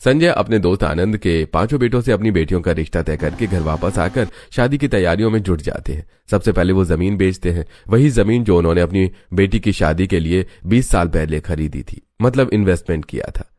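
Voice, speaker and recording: A man's voice: low voice, expressive, a little fast, hardly any pauses, darkish tone, dry recording. A man reading scripted moral-story narration as a storyteller.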